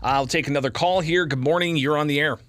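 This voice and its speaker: A man's voice: on the high side, quick pace, fairly bright tone, no room sound. An American man hosting a live radio call-in show, chatting casually into a close studio mic. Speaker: radio talk show host. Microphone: close broadcast studio mic.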